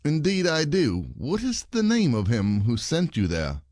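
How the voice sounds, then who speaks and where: A man's voice: fairly high pitch, huge pitch swings, a little slow, darkish tone, no echo, clean studio sound. A man playing a suspicious, bitter husband in a classic stage comedy, theatrical and probing. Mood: feigned calm.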